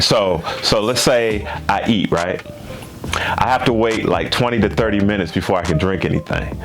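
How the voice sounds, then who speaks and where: A man in his fifties chatting casually to his viewers, repeating himself and tagging lines with questions. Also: low-pitched. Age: 50s. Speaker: gaming channel host. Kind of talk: casual chat to camera.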